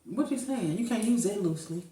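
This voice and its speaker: A man with a St. Louis accent co-hosts a casual podcast debate, questioning and pushing back on his partner. His voice sits a little higher than average. He sounds set back from the mic in an echoey room. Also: animated, fairly quick, warm tone, far from the mic. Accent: St. Louis, Missouri. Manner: skeptical, questioning. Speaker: podcast co-host.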